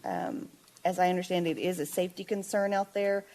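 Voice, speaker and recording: A woman presenting a list of projects to a committee in a measured, explanatory way. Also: fairly low pitch, fairly even intonation, darkish tone. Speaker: staff presenter. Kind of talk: meeting presentation.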